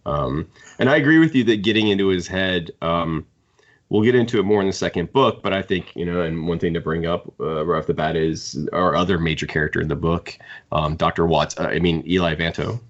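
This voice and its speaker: A man co-hosting a podcast, talking through books casually in a halting, thinking-aloud way. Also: sits low, lo-fi sound. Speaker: podcast co-host. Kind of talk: casual book discussion.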